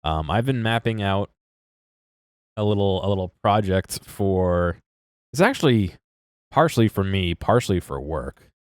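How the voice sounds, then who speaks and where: A man with a very low voice and a congested, stuffy sound talks casually to his stream chat. He rambles, restarts his sentences and laughs at himself. The sound is close and dry, with no room around it. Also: animated, measured pace, pauses often, clean tone, no room sound.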